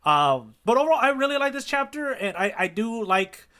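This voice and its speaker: A man's voice: very high-pitched, big pitch swings, normal speed, sharp tone, clean tone. A man co-hosting a podcast, talking casually and enthusiastically in a loose fan discussion. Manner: enthusiastic, appreciative. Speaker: podcast co-host. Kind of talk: casual chat about a manga chapter.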